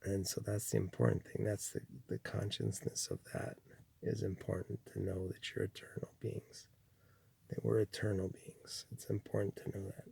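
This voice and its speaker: Slow gravelly-voiced man musing softly. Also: no echo. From Chile. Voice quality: gravelly, husky low voice. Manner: slow, rambling, with many restarts and fillers. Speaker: spiritual speaker. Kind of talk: reflective spiritual monologue.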